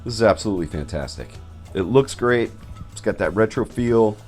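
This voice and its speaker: Male video host and artist, lowish voice, conversational scripted narration with light music. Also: expressive, fairly quick, darkish tone.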